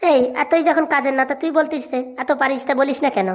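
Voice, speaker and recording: A woman plays a cheeky cartoon schoolboy with a highish voice. He rattles things off at great speed, like a recited lesson, sure of himself and bossy with it. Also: steady tone, fast talker, natural voice. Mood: irritated, scolding. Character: cheeky know-it-all village schoolboy Hagu, bossy and boastful. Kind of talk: comic cartoon dialogue.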